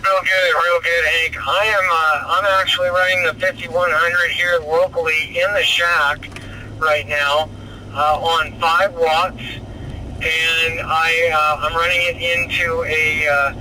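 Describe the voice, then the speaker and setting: A man's voice: fairly high pitch, level tone, takes it slowly, sharp tone. A man with an American accent chatting casually as a radio operator, heard over a digital radio. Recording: radio speaker in noisy moving car.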